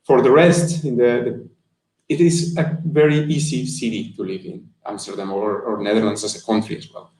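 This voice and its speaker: A man answers interview questions over a video call. His voice sits in a middle pitch and he speaks earnestly, often restarting a phrase. The sound is roomy, with an echo from the room around him. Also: fairly even intonation, normal speed, a little mellow. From Colombia. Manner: earnest and thoughtful, with frequent restarts. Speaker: guest, marketing manager. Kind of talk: interview answers on a live stream. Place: room indoors.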